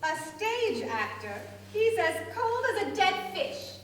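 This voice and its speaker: Woman acting a bossy, swooping, high-voiced busybody cousin. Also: big pitch swings, takes it slowly, fairly bright tone, heavily acted. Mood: scornful. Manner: theatrical, declamatory. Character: bossy, neurotic, man-hating busybody spinster-like divorcee cousin. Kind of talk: staged radio play acting. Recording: echoey hall, distant mics, faint noise.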